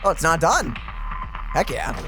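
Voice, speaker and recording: Excitable, animated young reaction host. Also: medium pitch, measured pace, music in the background. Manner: excitable, gamer slang. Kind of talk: excited reaction commentary, casual chat.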